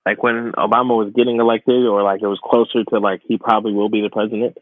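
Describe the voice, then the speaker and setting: A man's voice: lowish voice, flat delivery, fast, dry recording. Man, tinny phone-like audio. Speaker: podcast co-host. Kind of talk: casual conversational podcast chat. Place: remote, away from studio.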